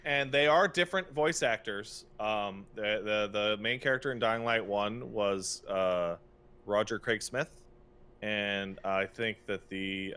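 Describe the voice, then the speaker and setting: A man's voice: on the low side, bright, forceful tone, smooth. A man co-hosting a podcast, talking casually and with opinions about games, stressing his points emphatically. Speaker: podcast co-host.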